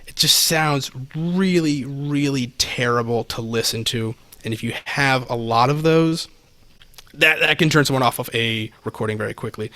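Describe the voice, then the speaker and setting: A man's voice: mid-range voice, fairly bright tone, dry recording. A man giving a casual, practical explanation as a podcast guest, speaking close to a microphone. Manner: informal, explains practically with examples. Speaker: podcast guest, audio expert. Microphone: close podcast microphone, slightly off to the side.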